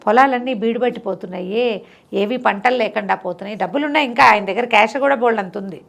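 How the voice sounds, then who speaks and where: A woman's voice: fairly high pitch, fast, gravelly, a bit bright. A woman telling folk tales conversationally, in a chatty way, explaining with repeated lists of detail. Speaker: storyteller. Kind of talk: conversational bedtime folk storytelling.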